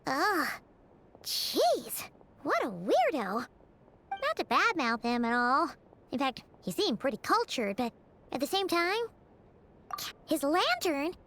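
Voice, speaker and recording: A woman voicing a small, excitable, chatty female fairy companion in a video game, chirpy and exclamatory. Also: high-pitched, slow pace, pauses often, slight background noise, exaggerated voice. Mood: puzzled, unimpressed. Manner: exclamatory, chirpy, speaks of herself in third person. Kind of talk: video game character acting.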